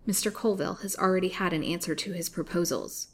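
A woman's voice: fairly low pitch, fairly even intonation, quick pace, slightly rough. A woman reading the lines of a proud, defiant young woman held captive, speaking firmly and indignantly. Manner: firm, indignant.

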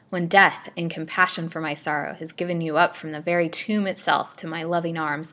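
A woman's voice: lowish voice, a bit bright, close to an everyday voice. A woman voicing a possessive, ardent male suitor in a storybook, with florid and melodramatic declarations.

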